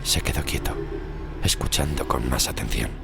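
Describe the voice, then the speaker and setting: A man from narrates a scripted horror story with suspense, in short weighted phrases that often sink into a breathy hush. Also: rumbling low, moderate pace. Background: music.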